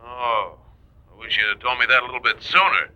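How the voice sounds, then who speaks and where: A man playing a brisk, businesslike insurance executive on the telephone in 1940s radio drama style. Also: mid-range voice, bright, forceful tone, rough recording.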